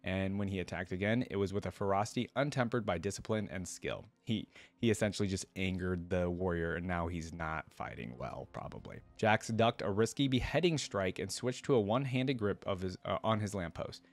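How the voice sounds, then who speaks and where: A man's voice: deep voice, fairly quick, few pauses. A man reading a fantasy story aloud and adding enthusiastic, informal fan commentary as a reaction video host.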